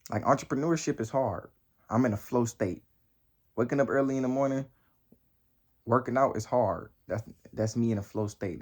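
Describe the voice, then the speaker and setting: Emphatic nineteen-year-old man, slangy and repetitive. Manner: voice climbs high and loud when pressing a point. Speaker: motivational vlogger. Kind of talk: motivational talk to camera.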